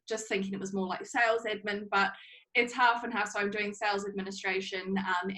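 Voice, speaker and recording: Young NZ woman, relaxed interview guest, middle-pitched and talkative. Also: rough recording. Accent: New Zealand. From New Zealand. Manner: chatty, laughs often, many fillers. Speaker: interview guest, social media manager. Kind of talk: casual interview talk.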